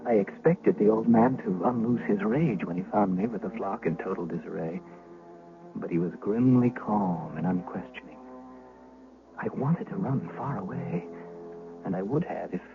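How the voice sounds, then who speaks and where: A man's voice: fairly low pitch, lively intonation, moderate pace, gravelly, over music. An actor playing a frightened, servile young shepherd boy in an old-time radio drama, anxious and haunted. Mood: haunted, dread. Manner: stammering, hesitant when questioned. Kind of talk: old-time radio drama acting, narrating his own tale. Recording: muffled vintage 1970s radio broadcast.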